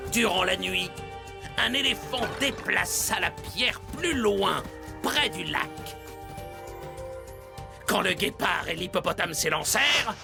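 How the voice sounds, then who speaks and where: A man voicing a wise animal telling fables in a cartoon, dramatic and deliberate, over music. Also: sits high, vocal fry, exaggerated voice. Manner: slow, dramatic storytelling. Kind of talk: animated series dubbing, fable narration. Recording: music behind.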